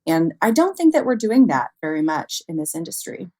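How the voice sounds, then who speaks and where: A woman's voice: fairly low pitch, sing-song, fairly quick, a little mellow. A woman speaking as an expert guest in an interview, explaining things in an informal, conversational way with everyday examples. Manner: frequently chuckles, informal, illustrates with acted-out quotes.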